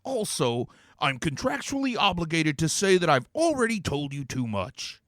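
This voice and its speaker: A man voicing a theatrical, greedy male demon that talks in grandiose, scornful tones, with a strained, drawn-out delivery. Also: a little high, sing-song, fairly bright tone, no room sound, studio quality, voice pushed up.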